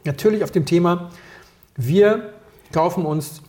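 A man from Berlin chatting casually on a podcast as co-host, rambling through anecdotes with quick asides and self-corrections. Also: high voice, warm tone. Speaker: podcast co-host and wine taster.

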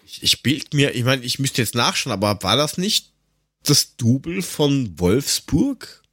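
A man's voice: medium pitch, sing-song, unhurried, fairly bright tone, slightly rough, dry recording, clean studio sound. A man chatting casually as co-host of a podcast.

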